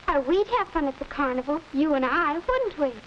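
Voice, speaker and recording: An actress playing a cheerful, sensible teenage big sister in a 1940s educational film. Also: female voice, high-pitched, lively intonation, fairly quick. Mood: chatty, sensible. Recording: muffled, noisy 1940s film soundtrack.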